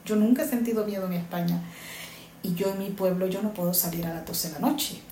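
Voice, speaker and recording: A woman's voice: medium pitch, a little fast, warm tone, slight background noise. Earnest woman in an echoey room. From Honduras. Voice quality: earnest, repeats herself for emphasis. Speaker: interviewee, migrant mother. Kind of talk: earnest personal testimony. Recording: echoey room with faint ambience.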